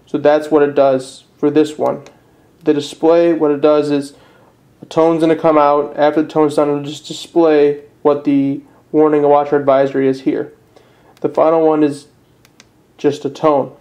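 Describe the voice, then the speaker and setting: A man giving a casual step-by-step product tutorial in a plain, unpolished, slightly halting way. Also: a little high, level tone, warm tone. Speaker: presenter of a how-to video.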